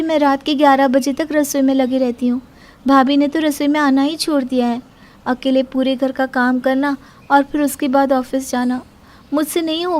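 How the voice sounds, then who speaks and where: A woman acts out a young working daughter-in-law in an Indian cartoon moral story. Her voice is clearly high. She comes across as earnest, plaintive and overworked. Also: darkish tone, natural voice.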